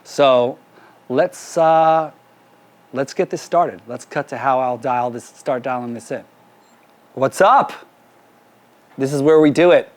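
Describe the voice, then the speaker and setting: A man's voice: mid-range voice, slow pace, pauses often. A man working as a music producer gives a casual hands-on tutorial, handing out informal instructions to his crew in a drum studio. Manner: informal, directive. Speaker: music producer giving a mixing demonstration. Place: recording studio with drum kit and PA.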